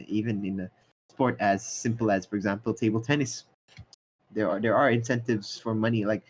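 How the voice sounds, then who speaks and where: A young man hosting a casual podcast, talking in a relaxed conversational way with some fillers. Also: lowish voice, slightly rough. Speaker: podcast host. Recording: dull lo-fi mic.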